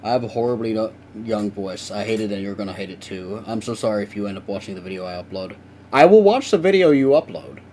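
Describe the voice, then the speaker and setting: A man's voice: on the low side, animated, quick pace, darkish tone, slight background noise. A young man chatting casually with viewers on a live stream while he works, rambling and swearing now and then.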